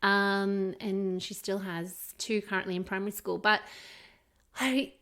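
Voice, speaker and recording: A middle-aged Australian woman co-hosts a casual podcast. She talks in a candid, conversational way, stressing her points with encouragement. Her pitch sits in the middle range for a woman. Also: flat delivery, measured pace, fairly bright tone. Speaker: podcast co-host.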